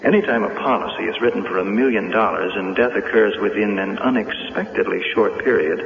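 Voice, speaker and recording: An actor playing a stubborn, probing insurance investigator in a 1970s radio drama. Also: male voice, lowish voice, a little fast, gravelly. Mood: dry, probing. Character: stubborn, hesitant insurance investigator following a hunch. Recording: echoey, dull, faintly noisy radio recording.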